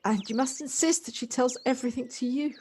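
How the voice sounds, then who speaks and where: A woman's voice: sits high, a little fast, darkish tone, breathy edge. A woman acting an earnest, caring professional in an amateur audio drama. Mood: urgent, caring. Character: earnest married London lawyer, caring and insistent. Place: at home, recorded remotely. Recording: faint noise, home personal-device recording.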